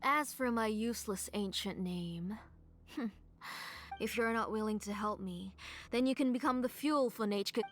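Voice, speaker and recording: A woman's voice: medium pitch, a little slow, close to an everyday voice. Anguished, obsessive grieving mother played by an actress. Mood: menacing resolve. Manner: anguished, dramatic. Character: grieving, obsessive mother, desperate to revive her child. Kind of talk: video game voice acting.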